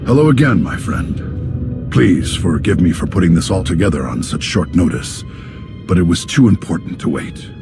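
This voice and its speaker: An actor playing a composed senior Jedi master in a video game, measured, formal and courteous. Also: male voice, deep voice, animated. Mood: warm greeting. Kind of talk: scripted video game voice acting. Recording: loud music under the voice.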